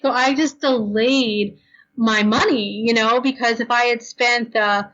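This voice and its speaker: Tired, talkative woman guest; casual, filler-heavy, a little hoarse, muffled remote audio. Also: on the high side, steady tone, a bit bright. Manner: chatty, fast, lots of ums and you knows. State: tired, losing her voice. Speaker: blogger guest. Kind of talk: podcast interview, casual advice.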